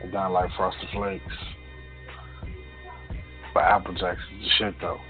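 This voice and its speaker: A man's voice: low voice, monotone, unhurried. A man co-hosting a radio show in casual, slangy banter with a hype-man delivery. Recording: tinny phone-like sound, music underneath.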